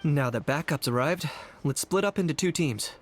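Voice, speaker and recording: A man playing a calm, level-headed young adventurer and tactician in a video game, speaking in a measured way. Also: on the high side, a little hiss or hum, close to an everyday voice. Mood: composed. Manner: measured, composed. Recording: loud game music behind.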